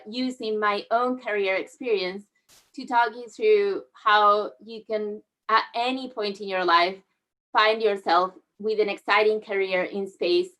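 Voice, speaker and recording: A woman's voice: on the high side, fairly bright tone. Woman from southern spain giving a slide talk online, middle-aged, easygoing, lots of ums. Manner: friendly, measured, with frequent fillers. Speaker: guest speaker. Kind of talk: presenting a talk over slides. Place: online video call.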